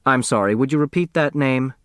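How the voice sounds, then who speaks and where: A man's voice: mid-range voice, brisk, a bit bright, dry recording, clean studio sound, voice pushed up, close to an everyday voice. A man voicing an authoritative woman judge in a storybook, polite and composed. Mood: apologetic.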